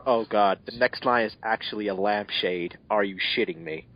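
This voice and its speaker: A man's voice: fairly low pitch, moderate pace. Man in dull lo-fi audio, sarcastic reader. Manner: exasperated, sarcastic asides. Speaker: amateur fan fiction reader and commentator. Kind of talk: mocking dramatic reading with casual commentary.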